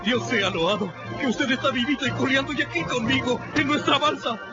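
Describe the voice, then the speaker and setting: A man's voice: very high-pitched, brisk. An actor playing a fearful, devoted runaway man who speaks in pleading, breathless exclamations, in a radio drama. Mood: relieved. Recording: dull audio with music behind.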